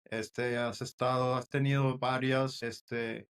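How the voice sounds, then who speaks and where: A man's voice: medium pitch, takes it slowly, clean tone. Male podcast host, mid-range voice; scripted intro, then loose conversational questions. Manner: polished scripted opening, then relaxed questions with filler words. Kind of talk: podcast interview.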